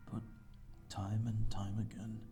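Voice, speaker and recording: A deep-voiced, mellow vampire lord of a lonely manor, acted by a man. He speaks very slowly, in formal, wistful phrases made for an ASMR audio drama. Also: gravelly, exaggerated voice. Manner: formal, wistful, archaic wording.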